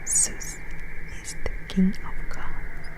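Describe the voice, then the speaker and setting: A woman whispering breathily and intimately in a scripted ASMR narration, lingering softly between short phrases. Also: slow. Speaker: ASMR performer guiding a space journey.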